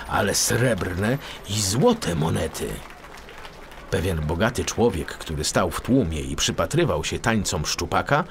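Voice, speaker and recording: A man narrating a scripted children's fairy tale audiobook in an expressive, theatrical storytelling manner. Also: fairly low pitch.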